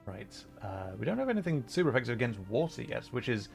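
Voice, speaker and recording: A man hosting a livestream, chatting casually in a hesitant, thoughtful, rambling way with frequent pauses while he plays a game. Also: medium pitch, big pitch swings, vocal fry. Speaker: livestream host. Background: background music.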